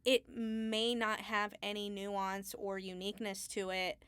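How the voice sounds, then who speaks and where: A woman's voice: mid-range voice, flat delivery, measured pace, fairly bright tone, clear, resonant tone, no room sound. A woman chatting informally as an interview guest, thinking aloud about her craft in an unscripted way. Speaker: Foley artist, podcast guest. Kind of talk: casual interview chat.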